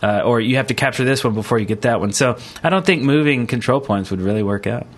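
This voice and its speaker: A man's voice: mid-range voice, quick pace. A man hosting a casual gaming podcast, explaining things in an informal, rambling way. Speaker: podcast host.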